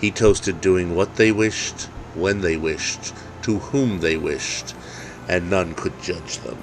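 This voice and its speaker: A man narrating a dark Christmas tale as its author, in measured, solemn, dramatic phrases. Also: deep voice, music in the background, low-quality recording. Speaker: author reading own story. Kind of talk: scripted dark Christmas storytelling.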